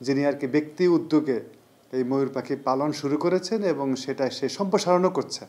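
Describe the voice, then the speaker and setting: A man's voice: mid-range voice, fast, darkish tone. A man with a Bangladeshi accent giving an emphatic interview statement as an official. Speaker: livestock department official.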